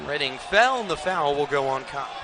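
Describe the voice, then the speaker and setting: A man's voice: a little high, lively intonation, moderate pace. An American man doing live basketball play-by-play as an announcer, with an emphatic, projected broadcast delivery. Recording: loud arena crowd noise behind. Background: crowd and basketball bounce.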